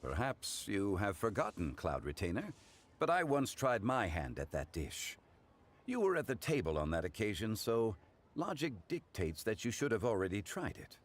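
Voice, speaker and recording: A man playing a composed, learned and courteous gentleman in a video game, speaking in a formal, measured, eloquent way. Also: on the low side, huge pitch swings. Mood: calm, reflective. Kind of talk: video game character dialogue.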